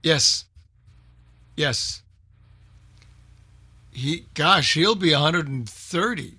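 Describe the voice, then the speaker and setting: A man with a bright, forward voice that sits a little high. He presents in a loose, conversational way, pausing and thinking aloud as he goes. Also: animated, breathy edge. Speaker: radio show presenter. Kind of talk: casual group chat.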